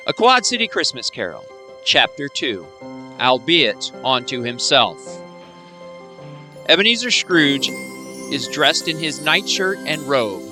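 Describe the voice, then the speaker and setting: A man's voice: medium pitch, sing-song, unhurried, long pauses, pushed and bright, soft background music. A man reading scripted dramatic narration for a radio play, describing scenes as they unfold.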